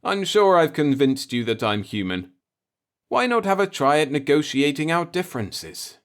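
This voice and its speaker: An earnest human space traveler, played by a male narrator, talks in a raised, lively pitch. He explains and persuades with insistence and some impatience, in science fiction storybook fashion. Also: normal speed, smooth. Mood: exasperated.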